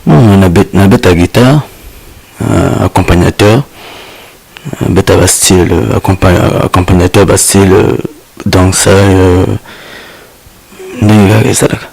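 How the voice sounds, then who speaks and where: A man who plays accompaniment guitar and dances, speaking in a hesitant, halting way. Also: deep voice, vocal fry, darkish tone, slightly rough, no echo.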